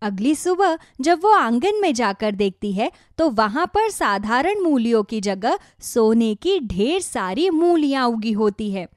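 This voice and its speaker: A woman's voice: a little high, very animated, measured pace, few pauses. A woman tells a scripted children's story as its narrator. Her delivery moves up and down expressively. The sound is close, with no room echo.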